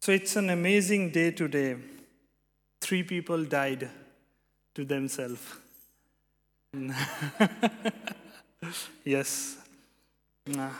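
A young man from India preaching a sermon and praying, speaking haltingly with many fillers and restarts. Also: medium pitch. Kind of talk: sermon with prayer. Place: church hall. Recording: long hall reverb.

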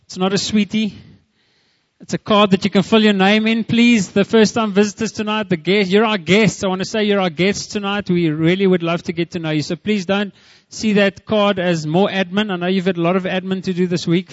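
A male pastor in his thirties with a South African English accent, praying and then preaching in a church in a chatty, warm way that is full of "amen". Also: high-pitched, fairly even intonation, moderate pace, dry recording, dull audio. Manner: warm, chatty, mixes in Afrikaans, lots of "amen" and "Lord". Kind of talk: church prayer and sermon. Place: church hall with a live congregation.

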